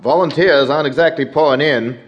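An actor playing a courteous, formal fort commander in a radio drama, measured in his speech. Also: on the high side, close to an everyday voice, poor audio. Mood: courteous, doubtful.

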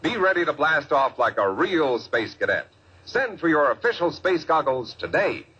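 A man's voice: on the high side, sing-song, moderate pace, very noisy. A man announcing a 1950s children's radio advertisement with an emphatic, enthusiastic sales manner. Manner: emphatic, addresses young listeners. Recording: dull vintage radio recording.

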